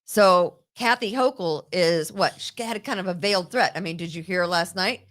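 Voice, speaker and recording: A woman hosting a political talk show, talking in an indignant, rapid way and often repeating herself. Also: lowish voice, fairly bright tone. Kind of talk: opinionated political chat. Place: New York.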